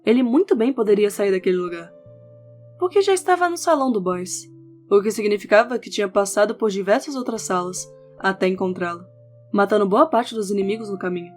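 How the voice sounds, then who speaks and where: Female narrator, scripted audio novel, mid-range pitch, guitar music bed behind. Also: fairly quick, a little mellow. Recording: background music under the voice. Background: instrumental music with guitar.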